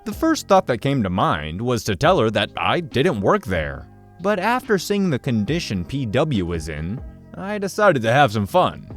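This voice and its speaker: A man narrating a story he read online, in an animated storytelling manner. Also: medium pitch, normal speed. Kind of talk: reading an online story aloud. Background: soft background music.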